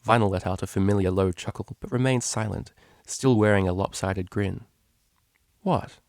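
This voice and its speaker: Male story narrator, lowish register, recorded dry and close. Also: fairly quick, a little mellow, no room sound. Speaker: narrator, fan fiction reader.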